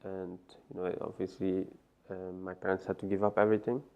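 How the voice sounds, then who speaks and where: Guy, super low gravelly voice, kinda hesitant, muffled recording. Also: flat delivery, a little fast. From Afghanistan. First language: Farsi. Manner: hesitant, many fillers and restarts. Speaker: interview guest. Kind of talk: personal story interview. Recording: muffled lo-fi sound.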